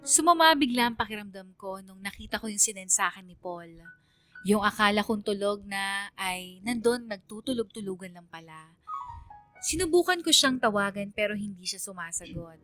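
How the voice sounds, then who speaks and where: A woman's voice: mid-range voice, expressive, normal speed, a bit bright, close to an everyday voice. Confiding young Filipina narrator, acted by a woman, music underneath. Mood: hurt. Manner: confiding, reflective. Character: troubled young female student narrating her romance, confiding. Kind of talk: scripted first-person radio drama narration.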